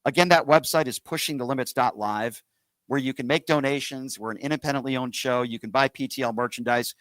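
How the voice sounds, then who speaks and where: A man's voice: mid-range voice, brisk, a bit bright, no echo. A man hosting a political call-in radio show, combative and sarcastic, cutting across callers.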